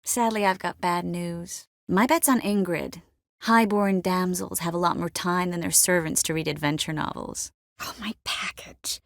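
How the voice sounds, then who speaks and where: A woman playing an adult sorceress in a video game, warm and wry yet resolute, speaking conversationally and often softly. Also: fairly low pitch, steady tone, normal speed, gravelly, no echo, clean studio sound. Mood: businesslike. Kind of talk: video game dialogue voice acting.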